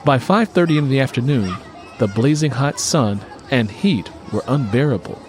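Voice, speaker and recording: Man narrating fiction with lively pitch. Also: moderate pace, a faint crowd, a little hiss or hum. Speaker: audiobook narrator. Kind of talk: scripted fiction narration, acting out dialogue.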